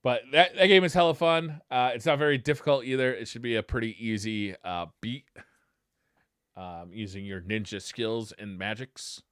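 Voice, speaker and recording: A man's voice: mid-range voice, normal speed, a bit bright, clean tone, dry recording, clean studio sound. A man co-hosting a casual retro gaming podcast, talking in a conversational way. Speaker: podcast co-host.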